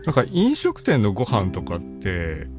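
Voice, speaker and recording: Middle-aged man, low and lively pitch, casual reflective podcast guest. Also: unhurried. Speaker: guest, band saxophone and flute player. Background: guitar music.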